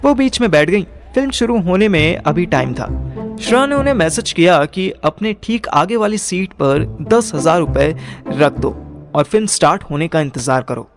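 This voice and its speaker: A man's voice: sits high, very animated, normal speed, over music. A young man narrating a first-person audio drama in colloquial Hindi mixed with English words. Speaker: narrator and lead character.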